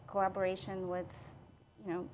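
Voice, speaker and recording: A middle-aged woman from Bulgaria, a city engineering manager recounting her career in a podcast interview, upbeat with many "um" pauses. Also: medium pitch, monotone, darkish tone, slight background noise, low-quality recording. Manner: upbeat, with frequent "um" fillers.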